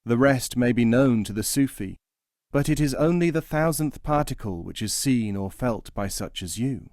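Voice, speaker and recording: A man voicing a great Sufi master in a teaching tale, measured and gently admonishing. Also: mid-range voice, animated, no room sound, studio quality, lightly acted. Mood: calm rebuke. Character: great Sufi master, male, calm and stern. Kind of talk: storybook teaching-tale character voice.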